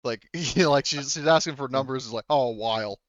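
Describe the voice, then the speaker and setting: A man running a tabletop game as game master, improvising narration and explanations in a halting, wordy way. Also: mid-range voice, fairly bright tone, no room sound, highs cut off.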